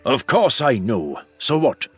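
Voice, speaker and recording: Man voicing a husky, defiant younger brother. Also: medium pitch, huge pitch swings, takes it slowly, full character voice. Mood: defensive. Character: defensive, irritated young tribesman, bullied younger brother. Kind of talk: fantasy audiobook character voice. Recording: music behind the voice.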